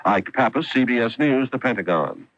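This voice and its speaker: A man's voice: medium pitch, expressive, moderate pace, a bit bright, lo-fi sound. An American man delivering a scripted news report as a radio correspondent on an early 1980s broadcast.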